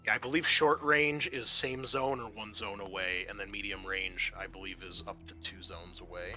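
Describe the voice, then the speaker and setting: A man's voice: fairly low pitch, fairly even intonation, moderate pace, sharp tone, soft background music. A man narrating a tabletop roleplaying game as game master in a hesitant, conversational way.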